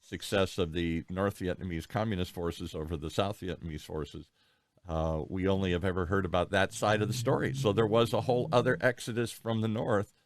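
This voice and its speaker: A man's voice: deep voice, fairly even intonation, fairly quick. An American man hosting an interview, asking long, rambling questions with frequent restarts. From United States. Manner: rambling, thinks aloud with many restarts. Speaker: podcast host. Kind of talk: conversational interview.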